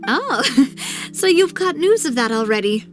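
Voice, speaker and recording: A woman's voice: high-pitched, big pitch swings, a little slow, soft background music. An actress playing a determined, playful young woman investigator in a video game, polite and confident. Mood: playful, teasing. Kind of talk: video game dialogue acting.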